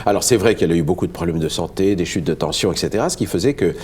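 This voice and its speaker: A man's voice: low voice, very fast, darkish tone, slight background noise. A French man from Le Havre speaking as a musician guest in a cultural discussion, earnest and reflective, with frequent hesitant restarts. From France, Le Havre.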